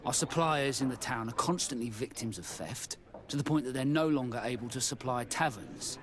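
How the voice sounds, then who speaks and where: An actor voicing a plain-spoken, exasperated tavern keeper in a video game, expressive and grumbling. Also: male voice, medium pitch, moderate pace, natural voice.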